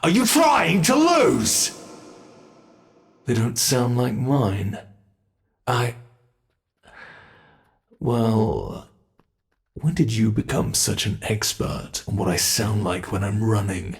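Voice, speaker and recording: A man playing a menacing monster in an ASMR roleplay, taunting captives with theatrical, deliberate mock politeness. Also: lowish voice, big pitch swings, gravelly, exaggerated voice. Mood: irritated, threatening.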